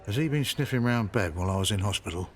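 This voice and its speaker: An actor playing a suspicious, jealous middle-aged husband, terse and serious, in a radio soap. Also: male voice, on the low side, lively intonation, quick pace, close to an everyday voice.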